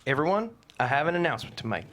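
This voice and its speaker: A woman reads a blustering family man with a very low voice who is far too sure of his bad ideas. He talks with bold, cheerful confidence in a comedy table read. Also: sing-song, rapid-fire, a bit bright. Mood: boastful. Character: bumbling, overconfident middle-aged dad.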